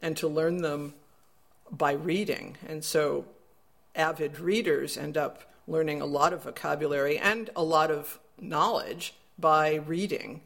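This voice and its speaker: A man's voice: fairly high pitch, steady tone, a little slow, vocal fry, fairly bright tone. A man being interviewed as an education expert, explaining in a thoughtful, self-correcting way. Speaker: education researcher guest. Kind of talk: interview answer.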